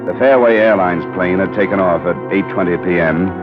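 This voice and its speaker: An American actor playing a tough, level-headed insurance investigator in an old radio drama, narrating in a clipped, hard-boiled, matter-of-fact way. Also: male voice, low voice, brisk, natural voice. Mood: grim, matter-of-fact. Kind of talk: 1940s-50s radio detective acting, first-person narration. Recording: muffled vintage radio recording with music.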